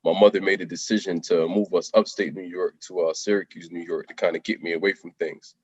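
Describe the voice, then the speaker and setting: A middle-aged man from Jamaica speaks in a very low voice, candid and unhurried, clearing his throat now and then. The recording is dry and slightly dull. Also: little pitch movement, no echo. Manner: soft-spoken, unhurried, frequent ums and throat clearing. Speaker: guest, contractor. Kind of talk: candid life-story interview.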